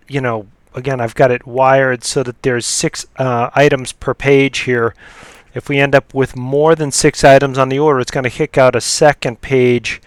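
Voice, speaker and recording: A man giving an unscripted software demo in a halting, matter-of-fact way as he explains each step. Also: medium pitch, clean tone, no room sound. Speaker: software demonstrator. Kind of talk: unscripted screen-recorded software tutorial.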